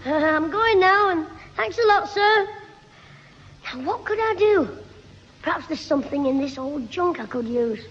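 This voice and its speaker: Cheeky schoolboy schemer, very high voice, Lancashire accent, vintage radio comedy, reverberant recording. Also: male voice, animated, fairly bright tone, full character voice. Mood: eager, wheedling, then scheming. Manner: cheeky, wheedling, quick with backchat. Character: cheeky, scheming working-class English schoolboy. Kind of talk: 1960s radio sitcom acting. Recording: reverberant, muffled, hissy 1960s radio recording.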